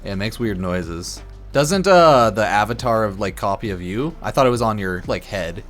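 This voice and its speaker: A man's voice: lowish voice, lively intonation. Casual, loose-talking male streamer over music. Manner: slightly tipsy, loose, halting and repetitive; informal, crude slang and swearing. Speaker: game streamer. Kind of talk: casual rambling stream commentary on a game. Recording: music behind the voice. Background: background music.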